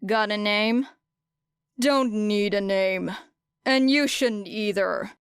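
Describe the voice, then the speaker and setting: A woman voicing a gruff, irritable male vigilante who mutters curt, foul-mouthed lines in an audiobook. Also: mid-range voice, slow pace, no echo, studio quality. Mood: guarded, curt. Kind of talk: audiobook character dialogue.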